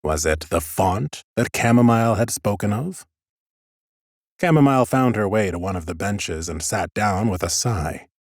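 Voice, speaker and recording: A man's voice: on the low side, lively intonation, normal speed, no echo. A man narrating scripted first-person fantasy audiobook prose with wry understatement, close on a studio mic. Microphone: close studio microphone.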